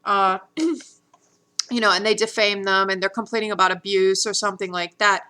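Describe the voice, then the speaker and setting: A woman talking in an unscripted monologue, rambling and halting with many fillers and restarts, earnest and insistent. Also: mid-range voice, flat delivery, sharp tone, clean tone. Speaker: vlogger.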